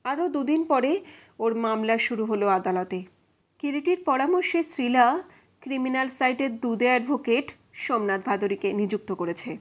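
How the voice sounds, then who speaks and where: High-voiced woman narrating a detective story. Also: lively intonation, moderate pace, slight background noise.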